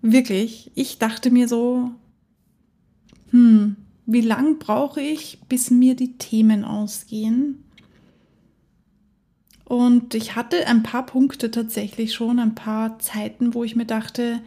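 Female podcast host, mellow tone, relaxed unhurried delivery, upbeat solo chat. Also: a little high. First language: German. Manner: chatty and enthusiastic, laughs often. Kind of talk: casual solo podcast monologue.

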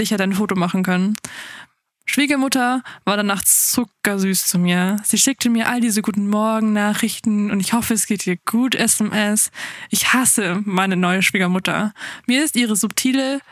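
A woman whose first language is Afrikaans reads a funny online story aloud on a podcast, then chats about it in a giggly, easygoing way. Her voice sits at an ordinary middle pitch for a woman. It is recorded close and dry, with no room sound. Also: moderate pace, dry recording. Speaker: podcast co-host. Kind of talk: casual chat, reading a story aloud.

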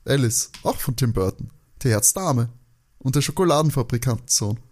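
A man chatting casually as a podcast co-host, joking and rambling as he thinks out loud. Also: mid-range voice, expressive, normal speed. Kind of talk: casual chat, guessing along with an audio drama.

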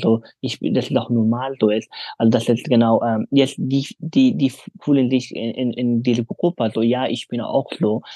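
Husky young man. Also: mid-range voice, warm tone, dry recording. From Afghanistan. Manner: hesitant, many fillers, non-native grammar. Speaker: interview guest. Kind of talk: casual interview answers.

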